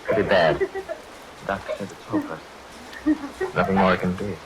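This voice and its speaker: A man's voice: low-pitched, steady tone, moderate pace, close to an everyday voice. An actor playing a somber man giving grim news tersely, in vintage radio drama style. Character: somber man grimly reporting a tragedy. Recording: noisy vintage radio recording, rain behind.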